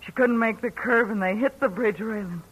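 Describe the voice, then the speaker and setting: A woman's voice: on the high side, fairly quick, breathy edge, muffled recording. An actress playing a bitter, jealous gangster's ex-girlfriend in a 1940s radio drama, brassy and emotional.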